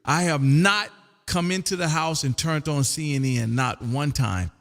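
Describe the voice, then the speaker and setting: An older man around sixty talks in a fairly high-set voice with long reverb around it. He chats in a folksy, rambling way as an amateur radio host, often addressing his listener as "man". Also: measured pace, a bit bright. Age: older, about 60. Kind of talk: casual rambling radio chat. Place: home radio room. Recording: long echoing room reverb.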